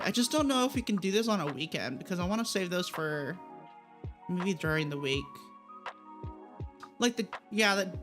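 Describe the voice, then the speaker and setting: A woman chatting casually as she hosts a gaming livestream, giggly and easily sidetracked. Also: on the low side. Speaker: streamer hosting a gaming livestream.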